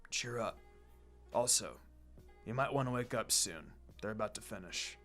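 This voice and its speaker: A man voicing a huge, protective fox beast in a story, with a gruff, teasing, hushed manner. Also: fairly low pitch, animated, moderate pace, voice pushed down. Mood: teasing, caring. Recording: music behind the voice.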